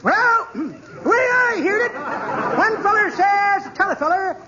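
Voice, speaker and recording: A woman doing a squeaky mischievous little boy. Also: expressive, measured pace, highs cut off, a loud crowd. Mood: joking. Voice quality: high squeaky boyish voice. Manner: rustic drawl, corny joke-telling. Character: cheeky little boy, mischievous know-it-all kid. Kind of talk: 1940s radio acting. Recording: noisy old radio broadcast recording.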